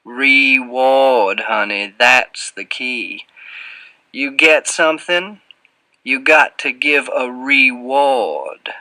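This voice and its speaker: A man voicing a huge, sly, taunting fat man with a slow, insinuating Southern drawl in an audiobook. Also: mid-range voice, bright, forceful tone, dry recording, pitched above the performer's own voice, heavily acted. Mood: insinuating, leering. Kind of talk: audiobook character voice.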